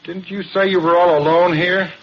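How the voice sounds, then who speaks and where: An actor playing a tired, easygoing oil geologist in an old radio drama, heard through a muffled broadcast with hiss. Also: male voice, high-pitched, level tone, moderate pace. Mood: weary.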